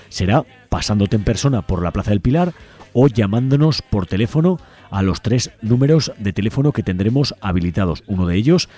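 Male community radio host, Spain accent, deep voice, scripted announcements over faint background music. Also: warm tone. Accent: Spanish from Spain. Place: radio studio.

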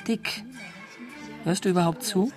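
A man's voice: sits high, measured pace, soft background music. An actor playing a dreamy, boyish young male drifter who speaks with earnest eagerness in a radio drama. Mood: eager, insistent.

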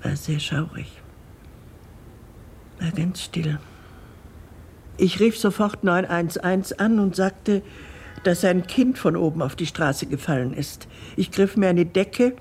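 A slow-spoken, courteous elderly witness. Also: female voice, on the low side, a little mellow, slight background noise. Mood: shaken, hushed. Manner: polite, hesitant, deliberate storytelling. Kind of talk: radio drama acting.

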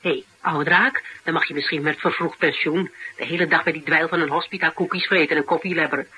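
A sharp-tongued, determined woman in a Dutch radio play. She talks bluntly and bossily, like someone used to getting her way. The old recording carries clear noise. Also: sits low, steady tone, fairly quick, low-quality recording. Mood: defiant, scornful. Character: tough, sharp-tongued, determined woman interviewer sheltering a fugitive. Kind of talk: Dutch radio drama acting.

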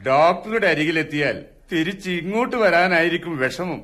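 A man's voice: fairly high pitch, animated, normal speed, a bit bright. A man with a Malayali accent acting an affectionate, philosophical middle-aged father, tender and theatrical, in a radio drama. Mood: teasing, fond.

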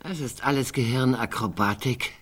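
Husky, sardonic old woman. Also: very deep voice, normal speed, fairly bright tone, exaggerated voice. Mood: wry, gloomy. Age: older. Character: sardonic, gloomy elderly woman, sharp-tongued with her housemate. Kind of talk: German radio drama acting.

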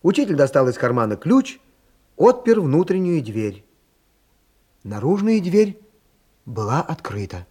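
A man with a husky voice giving scripted narration for a radio play. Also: on the high side, very animated, measured pace, pauses often, dark tone, full character voice.

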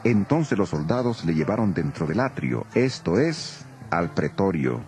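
A man's voice: low-pitched, sing-song, measured pace, darkish tone, a little hiss or hum. A man narrating scripture as a solemn, dramatized scripted reading. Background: music.

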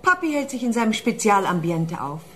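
A woman's voice: medium pitch, very animated, brisk, fairly bright tone. A woman playing a coquettish, scheming rich daughter in a radio play, with an affected, sugary manner.

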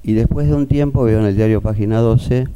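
Older-ish argentine man testifying, low dark mellow voice, halting, repeats words. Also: a little hiss or hum. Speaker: witness, pediatrician. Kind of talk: spoken testimony.

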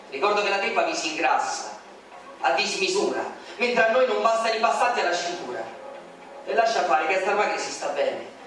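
A man's voice: sits high, a bit bright. A man giving a theatrical poetry recitation, declaiming with indignation. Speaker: poetry reader. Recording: very echoey stage recording from far off, music behind.

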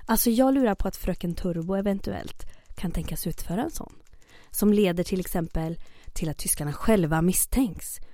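An actress playing a mayor's aide in the resistance, businesslike but hesitant and scheming. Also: female voice, fairly low pitch, mellow, slight background noise, natural voice. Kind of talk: audio drama acting.

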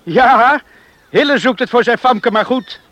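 High-voiced, defiant young countryman. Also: unhurried, a bit bright, muffled recording. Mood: defiant, taunting. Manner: indignant, bantering, protesting. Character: defiant, hot-headed young drifter in love, rural islander. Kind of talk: Dutch radio play acting.

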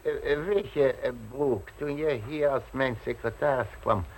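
A man's voice: medium pitch, a little slow, a little mellow, close to an everyday voice. An actor playing a grumpy, tight-fisted wealthy man in a radio play, stammering and repeating himself as he protests. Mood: grudging, flustered. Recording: noisy old radio play recording.

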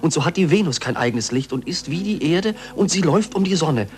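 An actor playing a scientist's fervent disciple in a declamatory, impassioned 1940s German radio play style. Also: male voice, fairly high pitch, expressive, brisk, lightly acted. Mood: awed conviction. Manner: declamatory, impassioned, dropping to hushed tones.

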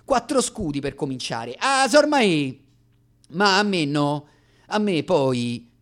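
A man's voice: fairly high pitch, big pitch swings, takes it slowly, a bit bright, heavily acted. An actor playing a young aspiring comic from Rome, telling his story with a Roman accent in a dramatic, self-mocking radio monologue. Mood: plaintive.

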